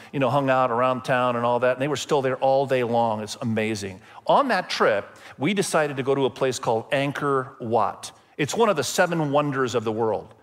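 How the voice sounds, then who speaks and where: A man who grew up in Indonesia, preaching a sermon as pastor in a conversational storytelling way, with emphatic pauses. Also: medium pitch, a bit bright. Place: church sanctuary. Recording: long hall reverb.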